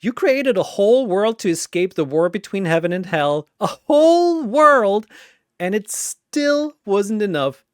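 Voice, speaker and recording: Man voicing a manic, high-swooping dream demon. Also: sing-song, unhurried, clear, resonant tone, no echo, clean studio sound. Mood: gleeful taunting. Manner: taunting, theatrical, swooping delivery. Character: manic mocking triangular dream demon, gleeful and menacing.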